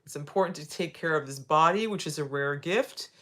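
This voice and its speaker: A woman's voice: sits low, brisk, a bit bright. A sixty-year-old woman hosting a live video, talking casually as she demonstrates, with frequent tag questions and repeated phrases. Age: 60s. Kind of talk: casual instructional talk.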